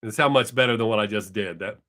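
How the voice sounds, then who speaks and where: A man's voice: lowish voice, quick pace, pushed and bright. An older man, chatting casually with viewers on his live stream, rambling and correcting himself as he goes, his voice still not fully recovered.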